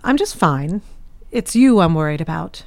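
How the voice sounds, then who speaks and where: A woman playing an overbearing, fretful middle-aged mother in an audio drama, theatrical and quick to take offense. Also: fairly low pitch, expressive, gravelly, dry recording. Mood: fretful, needling. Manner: guilt-tripping, theatrical, indignant.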